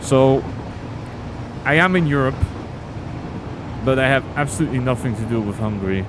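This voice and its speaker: A young man from Belgium, streaming a game and chatting casually with his viewers in a relaxed way. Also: mid-range voice, normal speed, noisy background. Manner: relaxed, chatty, reading and answering chat. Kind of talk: casual gameplay commentary with chat.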